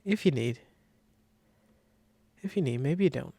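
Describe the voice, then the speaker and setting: A man giving a casual step-by-step software tutorial, thinking aloud informally as he demonstrates. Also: a little high, expressive, a little slow, husky, no echo. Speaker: design tutorial instructor.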